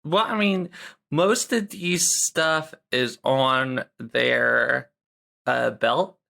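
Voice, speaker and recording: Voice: medium pitch, very slow, gravelly, pushed and bright. A tabletop game player chatting casually and thinking aloud in a tentative way.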